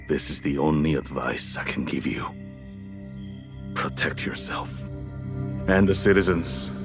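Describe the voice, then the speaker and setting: An actor playing a grave older mentor who gives solemn warnings in a game cutscene. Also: male voice, extremely low pitch. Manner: solemn, advising.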